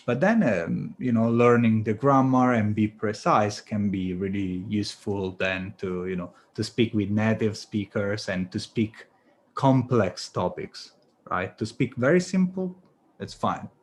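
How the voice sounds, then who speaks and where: A man's voice: on the low side, measured pace, slight background noise. A man with an Italian accent, teaching English on a video call and giving encouraging feedback with many fillers. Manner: relaxed, encouraging, full of fillers. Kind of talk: online class feedback round.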